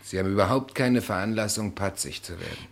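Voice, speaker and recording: Male actor as naive upright gentleman, deep pitch, polite, plain-spoken, radio play. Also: fast talker, close to an everyday voice. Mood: gently hurt. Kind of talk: German radio play acting.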